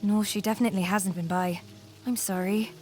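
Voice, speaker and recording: A woman's voice: mid-range voice, steady tone, a little fast, a little mellow, faint music behind, lightly acted. A woman playing a soothing, attentive wife in an audio drama, polite and reassuring in manner. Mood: politely concerned. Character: soothing, attentive new wife with veiled intentions.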